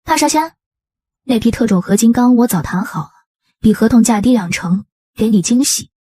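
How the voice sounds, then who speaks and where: A woman voicing a tough young female gangster who is fierce yet tender with her lover, husky, in a motion-comic drama. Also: a little high, animated, moderate pace, warm tone, dry recording, clean studio sound. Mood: teasing, affectionate.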